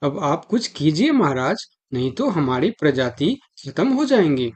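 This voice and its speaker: A man's voice: fairly high pitch, sing-song, measured pace, darkish tone, slightly rough, full character voice. A man playing an alarmed small jungle animal in a cartoon, with an urgent, pleading manner. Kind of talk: Hindi animal cartoon dialogue.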